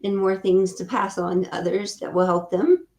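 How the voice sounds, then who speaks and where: A woman's voice: mid-range voice, little pitch movement, normal speed, darkish tone, reverberant. A woman in her fifties hosting a podcast, speaking earnestly and supportively to her guest. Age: 50s. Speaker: podcast host. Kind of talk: podcast interview.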